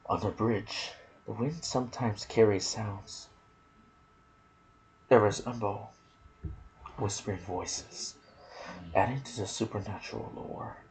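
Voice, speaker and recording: A soft-spoken man hosting an ASMR gaming video, reading trivia with casual asides and sometimes dropping into a whisper. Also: fairly low pitch, takes it slowly, long pauses, slight background noise, poor audio.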